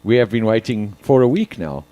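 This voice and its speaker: A man's voice: fairly low pitch, lively intonation, brisk, dark tone, no room sound, dull audio. A man co-hosting a live radio show, chatty and jokey. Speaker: radio co-host.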